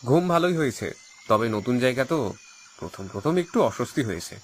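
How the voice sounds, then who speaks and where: A man's voice: medium pitch, big pitch swings, brisk, slight background noise, close to an everyday voice. A man voicing an uneasy young city boy visiting a village, in a cartoon. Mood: polite. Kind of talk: cartoon voice acting.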